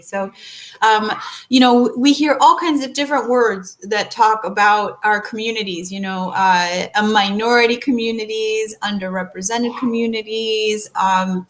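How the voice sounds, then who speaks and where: A woman's voice: mid-range voice, poor audio. A woman from Chile, a guest on a webinar interview, talking about her work with startup founders in an upbeat, eager way. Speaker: guest speaker, startup ecosystem builder.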